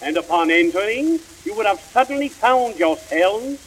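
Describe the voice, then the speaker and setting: A man voicing old Santa Claus, telling children a slow, grand, sing-song story on an old recording. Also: high voice, lively intonation, a little hiss or hum, rough recording. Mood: storytelling. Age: older.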